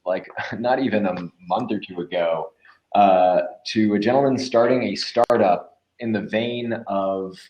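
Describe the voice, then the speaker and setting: A man's voice: on the low side, lo-fi sound. A man in his twenties chatting casually and informally as an interview guest, rambling a little as he explains his work. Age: 20s. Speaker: voice actor and podcast producer, interviewed guest. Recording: roomy, echoing sound.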